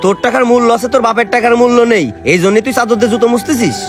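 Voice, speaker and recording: A man's voice: extremely high pitch, racing. A man playing a blunt, mocking young friend in a comedy skit, scolding. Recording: music clearly behind the voice.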